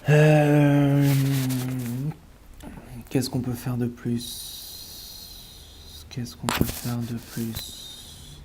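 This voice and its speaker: A man's voice: medium pitch, steady tone, very slow, pauses often, noisy background. A man with a cold, musing aloud over basketball stats, muttering and repeating words while he eats. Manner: eating while talking. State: has a cold. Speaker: sports betting analyst. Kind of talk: casual thinking-aloud match analysis.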